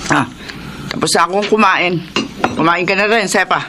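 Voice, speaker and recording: A woman's voice: fairly low pitch, moderate pace, noisy background, natural voice. An actress playing a bossy, sarcastic, suspicious lady of the house in a radio drama, curt and mocking. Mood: dry, curt. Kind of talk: Tagalog radio drama acting.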